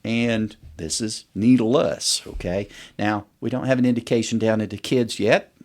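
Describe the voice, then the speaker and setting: A man's voice: lowish voice, fairly even intonation, normal speed. A middle-aged man speaking as an allergist guest on a podcast, explaining things conversationally with illustrative examples.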